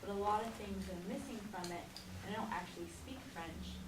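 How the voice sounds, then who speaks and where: A woman's voice: medium pitch, a little fast. Hesitant woman student, far in a room. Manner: hesitant, filler-heavy. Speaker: student asking questions. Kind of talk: questions in a class. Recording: echoey room, far from mic, hum.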